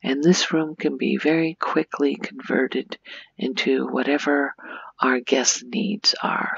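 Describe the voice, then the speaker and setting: A soft-spoken woman guides a game tour in ASMR style, her breathy voice often falling to a whisper. She rambles along without hurry, and her phrases swing noticeably in pitch. The sound is dry and a little muffled. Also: no echo. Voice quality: breathy, creaky. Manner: unhurried, rambling, thinking aloud. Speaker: gaming ASMR host.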